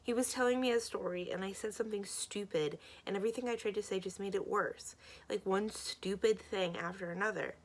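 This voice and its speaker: An insecure young girl from a storybook, voiced by a woman narrator. She is earnest and apologetic, blurting things out hesitantly in a creaky voice. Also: medium pitch, expressive, normal speed, fairly bright tone. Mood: upset.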